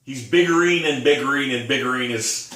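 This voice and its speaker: Bright-voiced man in his thirties, echoey room. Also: medium pitch, brisk. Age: 30s. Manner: rambling storyteller, laughs often. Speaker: podcast co-host. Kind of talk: casual chat. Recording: echoey room, mic at a distance.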